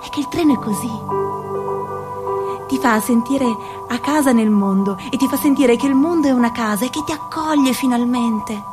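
A woman's voice: on the high side, normal speed, lightly acted. An actress performing a restless young woman who dreams of her own startup, in a rhythmic, poetic theatrical monologue. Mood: dreamy. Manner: rhythmic, incantatory wordplay. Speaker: narrator and lead actor. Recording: music playing behind. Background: music.

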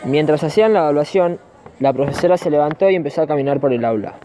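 A man's voice: on the high side, fast, warm tone, slight background noise. A man with an Argentine accent narrating a scripted amateur radio play. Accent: Argentine Spanish. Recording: dull, lo-fi amateur recording.

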